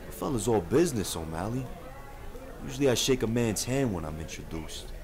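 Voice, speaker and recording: A man's voice: fairly low pitch, animated, brisk. A man playing a fat, jovially menacing gangster boss in an old-time radio drama. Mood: menacingly genial. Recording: party crowd and music behind.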